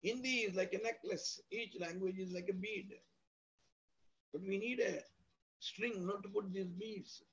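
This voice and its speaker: A male university lecturer with an Indian accent speaks in a very high, husky voice. He explains in a didactic, emphatic manner, restating points in strings of synonyms. The audio is dull and lo-fi. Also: fairly even intonation, unhurried, a bit bright. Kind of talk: academic lecture, explanatory with examples.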